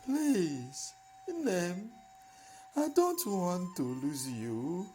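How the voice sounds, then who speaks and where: A woman voicing an anxious widowed mother in a folktale, imploring her only son in a drawn-out, tearful way. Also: lowish voice, sing-song, mellow, soft background music, voice pushed up, exaggerated voice. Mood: worried, pleading. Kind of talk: folktale storytelling.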